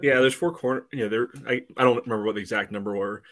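A man giving casual match analysis as a podcast panelist, in a halting way with frequent filler phrases. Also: medium pitch, fairly bright tone. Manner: halting, peppered with 'you know'.

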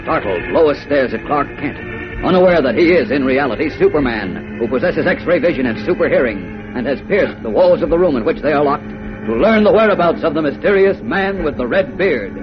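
A man's voice: fairly high pitch, expressive, a little fast. An American man narrating a radio serial in dramatic 1940s style. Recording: dull old radio broadcast. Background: dramatic music.

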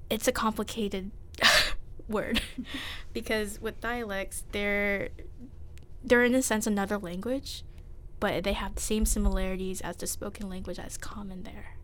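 A woman's voice: mid-range voice, a little hiss or hum. A young woman hosting a casual student podcast, chatty and often restarting her sentences. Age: young adult. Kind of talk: casual classroom-style podcast chat.

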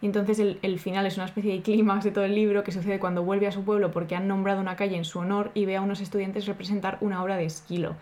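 Woman co-host, mid-pitched, loose and chatty. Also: rapid-fire, hardly any pauses. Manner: lively, informal, swears casually. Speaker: podcast co-host. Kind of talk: casual podcast chat.

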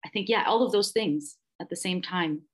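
A woman's voice: fairly low pitch, normal speed, slightly rough. A woman in her thirties, a perfumer who grew up in Dubai, talking reflectively and effusively as a guest in a casual interview. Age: 30s. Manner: reflective and effusive, searching for words with many fillers.

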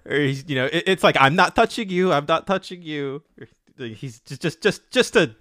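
A man co-hosting a casual podcast, animated and quick to laugh, often stammering and restarting his sentences. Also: on the high side, dry recording, clean studio sound. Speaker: podcast co-host.

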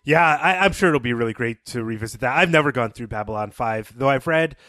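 A man co-hosting a podcast, talking casually and explaining things in a measured, thoughtful way. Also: mid-range voice, expressive, bright, forceful tone, no room sound, studio quality. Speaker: podcast co-host. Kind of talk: casual podcast talk and story recap.

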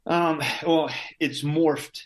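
A man's voice: on the high side, level tone, extremely slow, a little mellow. A middle-aged man from Southern Louisiana telling stories as an interview guest, with many restarts and chuckles. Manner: rambling, frequent restarts and laughs. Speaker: interview guest, Green Beret veteran. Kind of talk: casual conversational storytelling.

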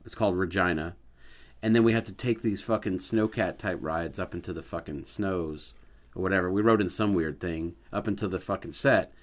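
A man's voice: low voice, brisk. A man in casual movie-podcast chat, telling a rambling anecdote and swearing freely.

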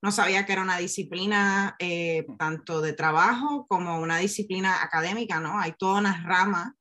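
A woman's voice: on the low side, brisk, pushed and bright. A Puerto Rican woman in her forties, a neurobiologist being interviewed, talking in a reflective way with many fillers and restarts. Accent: Puerto Rican Spanish. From Puerto Rico. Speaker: guest, neurobiologist and science communicator. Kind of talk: casual interview conversation.

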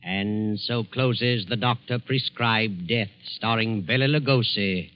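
An American man narrating 1940s radio suspense in a measured, dramatic, ominous manner. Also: fairly low pitch. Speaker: narrator and announcer. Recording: dull old radio recording. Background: music.

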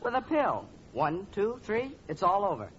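Guileless, animated teenage boy. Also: high voice, normal speed, exaggerated voice. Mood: earnest. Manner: earnest, matter-of-fact, innocent. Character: polite, guileless teenage boyfriend of the daughter. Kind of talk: 1940s radio sitcom acting. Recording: dull, faintly noisy old radio recording.

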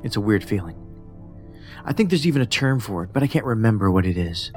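A man's voice: fairly low pitch, very animated, fast talker, a little mellow. Cerebral male investigator over prominent music. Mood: musing, unsettled. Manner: precise, formal, detached, musing. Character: cerebral, pedantic, socially awkward male federal investigator. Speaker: actor in audio drama. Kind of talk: science fiction audio drama acting. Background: music under the voice.

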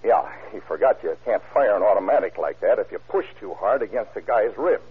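Actor as gruff deep-voiced cop, old radio. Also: male voice, expressive, a little fast, noisy background, natural voice. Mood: agitated. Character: gruff, wisecracking, fearless male policeman. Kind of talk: 1940s radio crime drama acting. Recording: tinny, muffled old radio recording.

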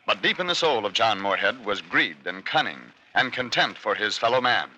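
A man's voice: fairly low pitch, animated, normal speed, sharp tone, poor audio. A man narrating a western adventure as a dramatic old-time radio announcer. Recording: old radio broadcast recording.

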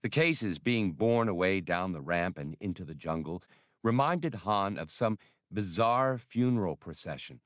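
Male narrator for adventure audiobook: lowish register, scripted storytelling read, tight dry studio sound. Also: animated, unhurried, a bit bright, no room sound.

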